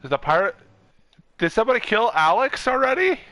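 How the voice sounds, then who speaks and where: A man's voice: sits high, very animated, brisk, pushed and bright, no room sound. A young man chatting casually while streaming a video game, close on the mic. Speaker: gaming streamer. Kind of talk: casual gameplay chat.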